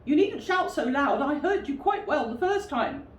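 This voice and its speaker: A woman voicing a wary, fussy storybook rabbit who is polite but evasive, speaking in a loud, brisk way. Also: high voice, lively intonation, lo-fi sound, voice pushed up. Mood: evasive, irritated. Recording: roomy, distant mic with faint noise.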